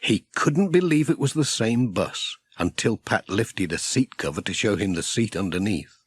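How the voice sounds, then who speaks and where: Animated, husky British male storyteller. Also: fairly low pitch, moderate pace, no room sound. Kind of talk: scripted children's audiobook narration.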